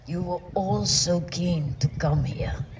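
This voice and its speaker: A spiritual teacher giving a slow channeled message to a group in formal, old-fashioned phrasing, often sinking into a breathy whisper. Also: medium pitch. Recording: distant mic with clear background noise.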